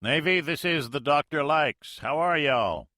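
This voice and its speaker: A loud, high, folksy Mississippi drawl. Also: male voice, expressive, unhurried, dry recording, clean studio sound. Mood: folksy bluster. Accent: put-on Mississippi. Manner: boisterous, folksy, loud over the radio. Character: Soviet Army major faking a folksy Southern American drawl. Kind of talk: audiobook character voice.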